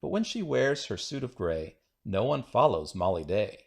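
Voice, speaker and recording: Animated man reading rhymes, slightly gravelly. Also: fairly low pitch, normal speed. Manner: playful, singsong, rhythmic delivery. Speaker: children's book reader. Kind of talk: read-aloud of children's rhyming poems.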